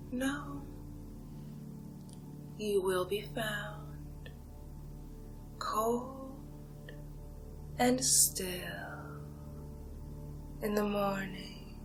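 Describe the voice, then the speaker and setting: A woman playing a seductive, menacing vampire in an ASMR horror roleplay, speaking in a breathy, drawn-out half-whisper with hypnotic, teasing menace. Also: medium pitch, poor audio. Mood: soothing menace.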